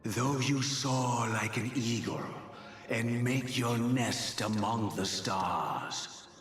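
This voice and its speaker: An actor voicing a solemn, godlike male authority in a video game, pronouncing judgment gravely with an echo effect. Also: mid-range voice, slow. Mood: solemn, condemning. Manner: grave, scriptural, imposing. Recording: echoing, distant voice over faint music.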